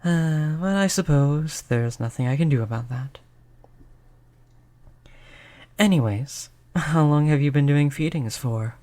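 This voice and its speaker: A performer voicing a polite vampire who looks like a child, gentle, reassuring and chatty in an audio roleplay. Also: mid-range voice, normal speed, natural voice. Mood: wry. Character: polite vampire turned young, stuck looking like a child. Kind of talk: audio roleplay spoken to the listener.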